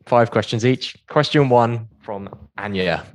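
A man's voice: medium pitch, a little slow. A man hosting a radio show, chatting and running a game in a playful, teasing way. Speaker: radio host. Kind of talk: light-hearted interview chat with a game.

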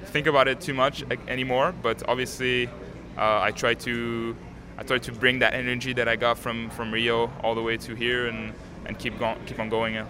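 An eighteen-year-old man from Canada, a native French speaker, answering interview questions outdoors in a calm, composed way with many fillers. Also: medium pitch, normal speed, hardly any pauses. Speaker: tennis player, interviewee. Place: outdoors at a tennis stadium. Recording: crowd noise behind.

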